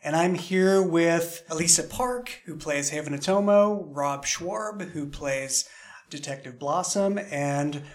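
A man with a fairly high voice hosts a podcast roundtable. He talks conversationally, as the creator of the show leading a discussion. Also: slow pace.

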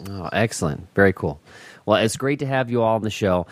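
A man's voice: on the low side, lively intonation, brisk, no room sound. A man hosting a podcast interview in a casual, conversational style. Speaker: podcast host.

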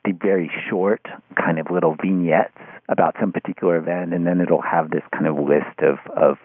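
A man speaking as an interview guest in analytical conversation, halting and circling back over his phrases. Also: sits low, fairly even intonation, husky, dry recording. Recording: narrow phone-like line.

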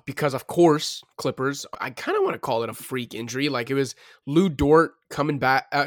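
A man hosting a sports podcast, talking quickly and casually, thinking aloud with plenty of fillers. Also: mid-range voice, dry recording, studio quality. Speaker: podcast host.

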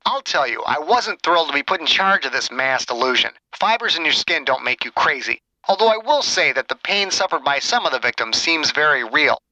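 Condescending male doctor, acted by a male narrator: higher-set, very bright and forceful, officious, contemptuous, audiobook dialogue. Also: no room sound, poor audio.

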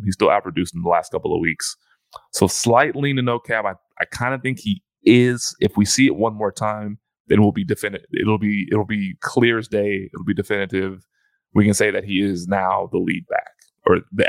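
A man's voice: low voice, creaky, no room sound. A man co-hosting a fantasy football podcast in casual chat, often restarting his phrases.

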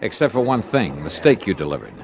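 An actor playing a terse, hard-boiled insurance investigator in a 1950s radio drama, brisk, probing and matter-of-fact. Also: male voice, fairly low pitch, very animated, gravelly, a little mellow. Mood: impatient, probing. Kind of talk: 1950s radio detective narration and dialogue.

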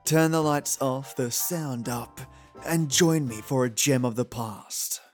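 A man's voice: mid-range voice, slow. A man hosting a podcast, giving a scripted introduction in a playful, theatrical manner. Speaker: podcast host. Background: music.

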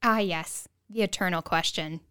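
A woman's voice: on the low side, moderate pace, pushed and bright, smooth. A woman co-hosting a podcast, making playful, chatty asides between the guest's answers. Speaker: podcast co-host, conservationist.

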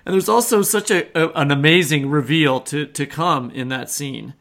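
A man's voice: a little high, moderate pace, creaky, a bit bright. A man co-hosting a podcast, asking questions in a conversational interviewing style. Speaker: podcast co-host. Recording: dull lo-fi recording.

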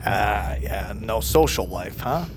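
A man's voice: fairly low pitch, animated, slow. An actor playing a laid-back, rugged male loner scientist who talks casually, in a naturalistic audio drama. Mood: relaxed. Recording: dramatic music score behind.

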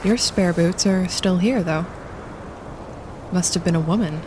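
A woman playing a practical wildlife photographer on a winter camping trip in a naturalistic audio drama, speaking in a hushed, matter-of-fact, low-key way. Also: measured pace, lightly acted. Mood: subdued, practical. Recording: clear outdoor ambience noise behind.

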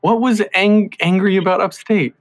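A man's voice: high voice, fairly even intonation, moderate pace, soft background music. A man hosting a podcast in a casual, chatty interview style with some banter. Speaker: podcast host.

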